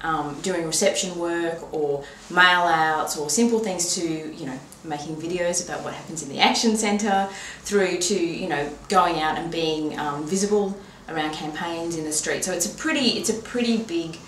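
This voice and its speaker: A woman's voice: on the low side, moderate pace, few pauses, fairly bright tone. An Australian woman chatting casually in an interview, easygoing and loose in her phrasing. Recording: echoey, distant mic, faint noise.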